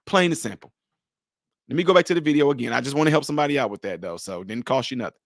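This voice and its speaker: A man giving animated casual commentary on a video clip, with pointed rhetorical questions. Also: mid-range voice, very fast, creaky, no echo, clean studio sound. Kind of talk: casual live-stream commentary.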